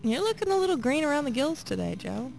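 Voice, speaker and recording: Female radio co-host with a hoarse, gravelly edge; playful, quick to laugh. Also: high-pitched, sing-song, darkish tone, faint music behind, rough recording. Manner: laughs often, playful teasing. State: a bit hoarse after a late night. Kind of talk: casual radio banter.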